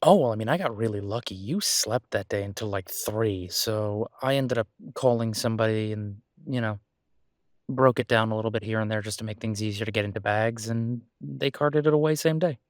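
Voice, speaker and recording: A man's voice: fairly low pitch, a little fast, no echo, studio quality, natural voice. A man playing a caring, easygoing boyfriend in a roleplay audio drama, speaking casually and reassuringly, with small hesitations. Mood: casual explaining. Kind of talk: roleplay audio drama, one-sided intimate conversation.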